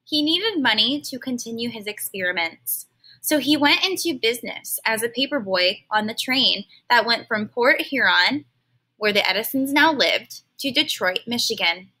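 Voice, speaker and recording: A woman reading a children's story aloud like a teacher, expressive and stopping now and then to explain words in simple terms. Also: on the high side, normal speed, low-quality recording.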